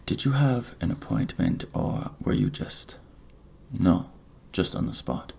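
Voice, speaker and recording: A man plays a courteous barber in an ASMR roleplay. His deep voice is hushed and full of gravelly creak, often sinking into a whisper. He takes his time, speaking slowly and gently. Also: huge pitch swings. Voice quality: breathy, hushed, half-whispered delivery. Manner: gentle, courteous, unhurried reassurance. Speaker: ASMR creator playing a night-shift barber.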